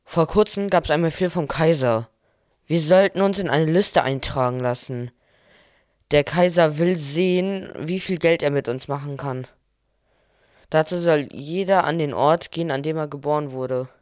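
A poor, tired husband played by a man tells his tale in a nativity audio play. His voice is slightly high and smooth, with plain, measured delivery in a dry recording. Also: no room sound, close to an everyday voice. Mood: weary, resentful. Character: Joseph, poor weary husband and new father. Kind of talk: scripted nativity radio play monologue.

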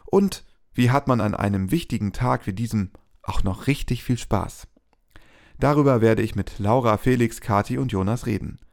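A man, a pastor, hosting a church podcast and interviewing guests in a friendly, measured way. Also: fairly low pitch, gravelly, darkish tone. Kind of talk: scripted podcast intro and interview questions.

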